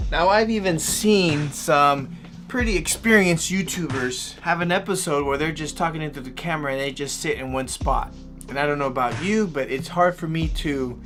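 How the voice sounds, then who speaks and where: A man vlogging in a casual, conversational way, giving informal advice to camera with some emphasis. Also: medium pitch. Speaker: YouTube vlogger.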